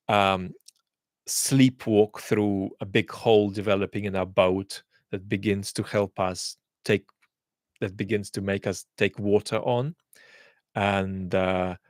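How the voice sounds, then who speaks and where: A man answering viewer questions in a casual chat, speaking in a thoughtful, measured way with frequent restarts. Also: lowish voice, no room sound, clean studio sound. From England.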